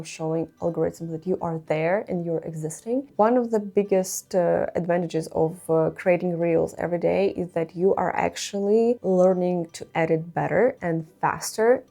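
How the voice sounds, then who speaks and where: A woman hosts a photography Q&A, talking in a chatty, joking way while a little sick. Her voice sits a bit low and sounds warm and mellow. Soft music plays underneath. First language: not English. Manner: chatty and playful, laughs at her own stories. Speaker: photography channel host. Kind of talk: casual Q&A vlog.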